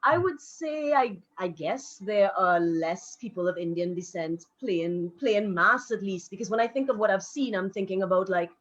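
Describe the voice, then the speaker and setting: A woman, speaking as a panelist in a live discussion in a frank, reflective, measured way. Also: medium pitch, animated. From Trinidad and Tobago. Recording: dull lo-fi audio.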